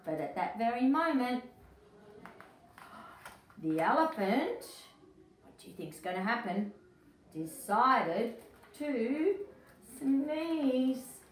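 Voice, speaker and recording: Slow-paced woman reading to young children. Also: fairly high pitch. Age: middle-aged. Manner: expressive, emphatic, addressing young children. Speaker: early childhood educator, story-time host. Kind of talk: children's picture book read-aloud. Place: small indoor room. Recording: echoey room, distant mic, faint noise.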